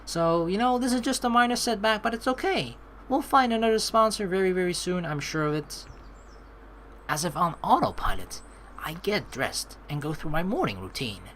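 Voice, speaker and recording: Bright, expressive game commentator. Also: medium pitch, normal speed, slight background noise. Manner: expressive, chatty, swears casually. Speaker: let's play host. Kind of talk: casual game commentary mixed with reading narration aloud. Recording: game music under the voice. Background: game music.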